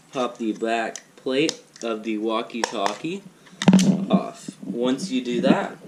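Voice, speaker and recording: A man giving a casual unboxing demonstration in a halting way, often repeating and correcting himself. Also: mid-range voice. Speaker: product reviewer. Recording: noisy recording with loud background noise and clicks.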